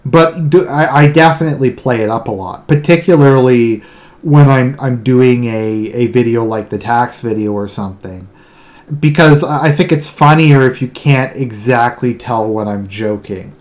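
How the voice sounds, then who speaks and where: A man with a deadpan delivery speaks casually in a solo monologue, often repeating words and restarting his sentences. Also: medium pitch, normal speed. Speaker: online video commentator. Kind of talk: casual monologue explaining own humour.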